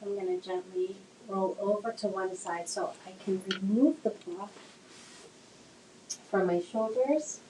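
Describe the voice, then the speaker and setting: A woman teaching a slow yoga class with gentle, soothing cues, her voice a little nasal from a cold. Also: medium pitch. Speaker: yoga instructor. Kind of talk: guided yoga class instruction. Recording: echoey room, faint noise.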